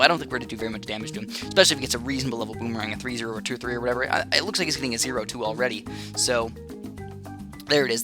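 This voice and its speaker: A man giving casual, rambling gameplay commentary, chatty and full of fillers and restarts. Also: medium pitch. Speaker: gaming channel host. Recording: game music playing under the voice.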